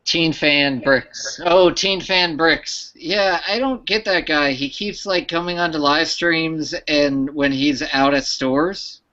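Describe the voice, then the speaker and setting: A man's voice: a little high, takes it slowly, few pauses, sharp tone. A man hosting a casual live stream chat, rambling with restarts. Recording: lo-fi online stream audio.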